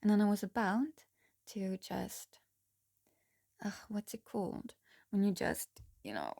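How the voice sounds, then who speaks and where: Slow, soft-spoken hoarse woman reader. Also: medium pitch, dry recording, clean studio sound. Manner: slow, halting, soft-spoken with frequent ums and restarts. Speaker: tarot reader. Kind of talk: casual spiritual tarot reading.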